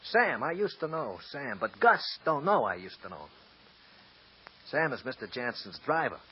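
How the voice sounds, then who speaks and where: A man's voice: medium pitch, very animated, normal speed, husky. An actor playing a nervous, tipsy small-time male accomplice who stammers and wheedles, in 1940s radio acting. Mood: rambling. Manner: stammering, wheedling then snarling. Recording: dull lo-fi old radio recording.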